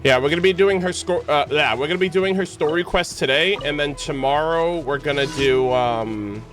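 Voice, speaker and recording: A man's voice: a little high, normal speed, a bit bright. Rambling male streamer over music. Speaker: game streamer. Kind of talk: casual livestream chat. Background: background music.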